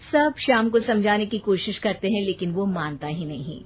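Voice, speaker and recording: A woman's voice: mid-range voice, lively intonation, moderate pace, a little hiss or hum. A woman reading a scripted story as its narrator. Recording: thin phone-like band, loud music.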